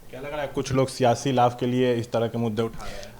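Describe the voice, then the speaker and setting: Indian male TV reporter, mid-pitched and conversational. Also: steady tone, fast, darkish tone, slight background noise. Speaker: TV news reporter and interviewer. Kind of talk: news interview questions and field sign-off.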